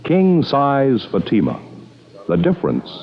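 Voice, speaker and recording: A man's voice: medium pitch, huge pitch swings, a little slow. Swooping vintage radio announcer, a man. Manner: salesman-like, polished delivery. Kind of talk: 1950s radio advertisement read. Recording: muffled old radio broadcast.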